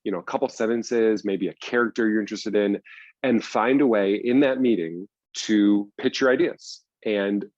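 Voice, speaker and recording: A man's voice: lowish voice, normal speed, darkish tone, dry recording, highs cut off. A man answering as an interview guest in a conversational, explanatory way, stressing points by repeating them. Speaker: guest, screenwriter and audio drama producer.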